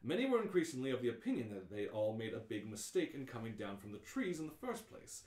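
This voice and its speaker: A man's voice: mid-range voice, very animated, quick pace, darkish tone, reverberant. A man hosting a live script read-through, narrating in a playful, theatrical way. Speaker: host and narrator.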